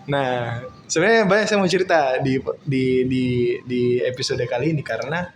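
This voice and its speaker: Seorang pria muda dengan logat Makassar, membawakan podcast obrolan santai dengan gaya bercanda. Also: male voice, medium pitch, a little hiss or hum. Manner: playful, joking, quick banter. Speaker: podcast host. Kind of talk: casual podcast chat.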